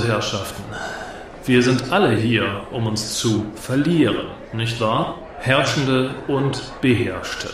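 A man in a radio play acting an arrogant cabaret provocateur who mocks his audience with sneering, theatrical delivery. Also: mid-range voice. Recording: echoey hall with audience crowd.

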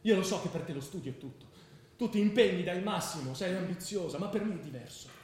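An actor playing a troubled, restless young student in an intense, brooding radio theatre performance. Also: male voice, high-pitched, expressive, quick pace, darkish tone, slight background noise. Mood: bitter, agitated. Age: young adult. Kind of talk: stage monologue and dialogue in radio theatre. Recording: roomy theatre sound with music behind. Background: music.

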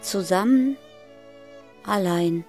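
A woman's voice: mid-range voice, slow pace, a little mellow, soft background music. An actress playing a grave female chorus narrator in a literary radio drama, speaking in terse fragments.

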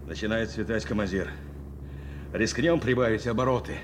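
An actor playing an anxious, dutiful bomber navigator in a radio play, with earnest, intense delivery. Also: male voice, fairly low pitch, normal speed, noisy background, lightly acted. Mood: tense. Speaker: actor in an audio drama. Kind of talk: Soviet radio play acting, dramatic narration and dialogue.

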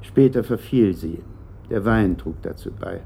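An actor playing a bitter, uneasy middle-aged company director answering questions haltingly in a German radio play. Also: male voice, fairly low pitch, animated, gravelly, mellow, a little hiss or hum. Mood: guarded. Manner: hesitant, evasive, halting.

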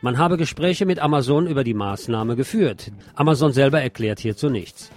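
A man's voice: medium pitch, expressive, normal speed, a little mellow. Male news reader over guitar music. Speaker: news presenter. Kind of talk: scripted news reading. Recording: music under the voice.

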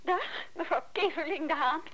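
A woman's voice: very high-pitched, normal speed, background noise. A woman playing a proud, wounded mistress in a radio play, scornful, curt and emphatic. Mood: cold, cutting.

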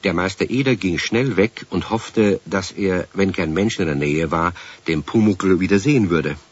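A man narrating a children's radio play as its storyteller, with a husky voice and scripted delivery. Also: low-pitched, normal speed. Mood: storytelling. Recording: dull 1970s radio play recording.

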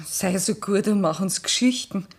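An actress playing a worried, gentle peasant wife in a 1960s radio play, soft and anxious. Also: female voice, mid-range voice, moderate pace, slightly rough. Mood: tender. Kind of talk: 1960s radio drama acting.

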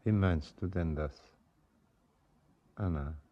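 Very deep, tender aging father. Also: muffled recording. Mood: troubled, melancholy. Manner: halting, gentle, solemn. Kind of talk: poetic radio drama acting. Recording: faint background noise.

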